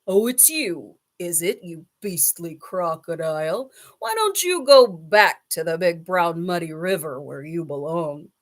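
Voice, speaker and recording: A woman's voice: on the low side, expressive, a little slow, hardly any pauses. A woman voicing a big, indignant male elephant in a children's storybook, scolding in a blustery, emphatic way. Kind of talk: children's storybook character reading.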